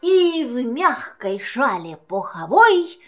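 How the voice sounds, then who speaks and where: A woman's voice: sits high, big pitch swings, slow, fairly bright tone. A woman narrating a children's verse tale in a storybook style.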